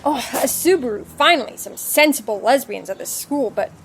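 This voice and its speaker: A woman's voice: high-pitched, lively intonation, normal speed, faint music behind. A woman playing a flippant, teasing rogue agent in a comedic audio drama. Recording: noticeable background ambience.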